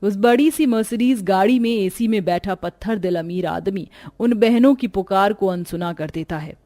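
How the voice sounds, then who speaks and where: A woman's voice: medium pitch, moderate pace, dark tone, no echo. A woman reading a scripted dramatic story as its narrator.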